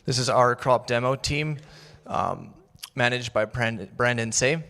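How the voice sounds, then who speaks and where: A young man giving a casual, slightly halting class presentation about farm teams and equipment. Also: medium pitch, animated, vocal fry, a bit bright, big-room sound. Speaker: student presenter.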